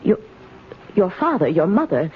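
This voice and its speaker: A woman's voice: on the low side, very animated, a little slow. An actress playing a timid retired lady in a radio drama, hesitant, flustered and protesting. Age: older. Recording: dull, noisy old radio broadcast.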